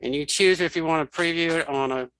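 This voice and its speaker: A man giving a casual, step-by-step software tutorial, informally narrating what he does as he goes. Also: fairly high pitch, fast talker, rough recording. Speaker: tutorial host.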